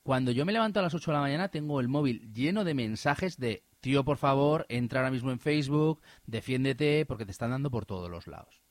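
A man telling an animated, indignant personal story in casual radio chat, with many restarts. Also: mid-range voice, fast, no echo. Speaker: radio host.

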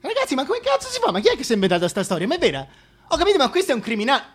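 A man's voice: extremely high pitch, huge pitch swings, rapid-fire, loud music behind the voice, full character voice. A man playing a flustered, foul-mouthed presenter in a comic radio play, agitated, stammering and colloquial. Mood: indignant, flustered. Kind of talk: theatrical comic monologue.

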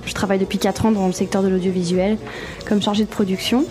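A woman in her twenties from the Paris area, talking as a guest in a casual chat, quick but full of fillers. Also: mid-range voice, little pitch movement, music in the background. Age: 20s. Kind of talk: casual conversation.

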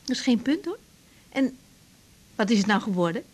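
A woman's voice: a little high, big pitch swings, normal speed, a little mellow. An actress playing a frank young woman doctor in a naturalistic Dutch radio drama, hesitant and informal in her speech. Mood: warm, hesitant. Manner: hesitant, repeating words, informal. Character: young woman doctor, frank, caring but easily thrown.

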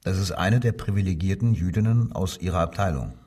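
An actor playing a cold, domineering Nazi police commissioner who questions sternly and curtly in a crime radio drama. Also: male voice, low voice, a little fast, warm tone, recorded from a distance. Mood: accusing. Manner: stern interrogator, curt probing questions.

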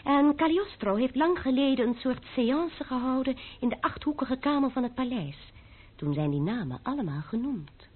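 High-voiced, commanding young noblewoman. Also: expressive, normal speed. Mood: grave, ironic. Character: young, commanding aristocratic French mademoiselle, foreboding and ironic. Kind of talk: Dutch radio play acting. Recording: old muffled narrow-band radio recording.

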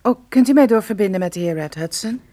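An actress playing a detective's practical, gently fussing female companion in a radio play, in a conversational manner. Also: medium pitch, lively intonation, fast talker, natural voice. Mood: polite insistence.

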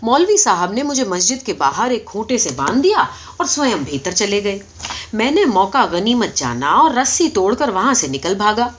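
A woman reading a story aloud as expressive scripted narration, in the manner of an audiobook reader. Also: mid-range voice, breathy edge. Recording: dull, lo-fi recording.

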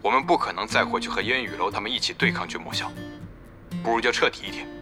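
A man's voice: fairly low pitch, fast talker, over music, lightly acted. An actor playing a composed, decisive male guild president in an audio drama. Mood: composed, resolute. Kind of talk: Chinese audio drama acting.

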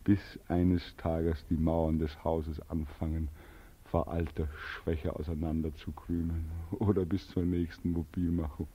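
An actor playing a bitter, exhausted, despairing young soldier home from war in a 1940s German radio drama, with a weary, brooding delivery. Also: male voice, a bass voice, level tone, measured pace, husky, heavily acted. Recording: muffled 1940s radio recording, faint music.

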